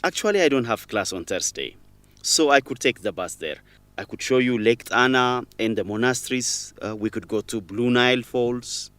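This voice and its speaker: A man's voice: mid-range voice, animated, normal speed, fairly bright tone, no echo, close to an everyday voice. A man acting a serious, direct young student in a radio drama. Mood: agreeable. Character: serious, guarded young Ethiopian student, an orphan.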